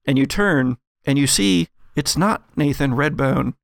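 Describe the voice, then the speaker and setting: A game master narrating a tabletop adventure in a dramatic, deliberate storytelling manner. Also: medium pitch, vocal fry, dry recording, clean studio sound.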